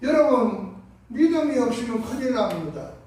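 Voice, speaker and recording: High-voiced Gyeongsang pastor preaching. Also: male voice, sing-song, normal speed. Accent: Gyeongsang Korean. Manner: impassioned preaching, swings between hushed and loud. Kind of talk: Sunday sermon. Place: large church sanctuary. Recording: echoey sanctuary from far off.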